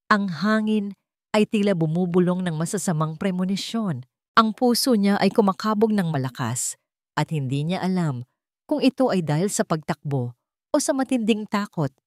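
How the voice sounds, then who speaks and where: A woman's voice: lowish voice, no room sound, clean studio sound. A woman reading a dramatic romance story in an emotive, melodramatic way. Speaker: story narrator.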